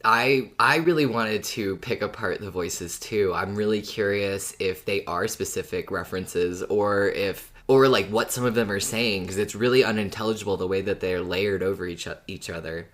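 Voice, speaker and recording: Very low-voiced woman co-hosting casually. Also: moderate pace, hardly any pauses. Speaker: podcast co-host. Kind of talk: casual fan podcast chat.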